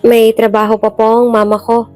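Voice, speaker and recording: A woman's voice: fairly high pitch, completely flat delivery, normal speed, a little mellow, pitched above the performer's own voice, close to an everyday voice. A woman with a Filipino accent voicing an innocent, polite eight-year-old child in a storybook-style drama. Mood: meek, hopeful. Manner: meek, respectful. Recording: music bed behind the voice.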